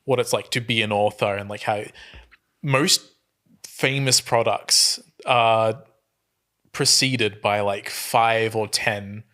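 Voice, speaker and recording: A man's voice: fairly low pitch, steady tone, slow, pushed and bright. A man co-hosting a casual podcast, explaining things in an earnest, rambling way. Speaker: podcast co-host.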